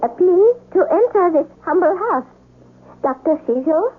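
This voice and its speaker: A woman's voice: very high-pitched, expressive, unhurried. An actress playing a humble, deferential old female servant in a 1970s radio drama, speaking formally. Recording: muffled vintage radio broadcast.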